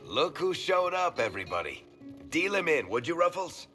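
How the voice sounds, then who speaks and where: A man's voice: on the high side, big pitch swings, normal speed, bright, forceful tone, soft background music. A man playing a wry, world-weary dwarf storyteller who talks in a colloquial, teasing way in a video game. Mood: jovial, teasing. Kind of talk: video game cutscene acting.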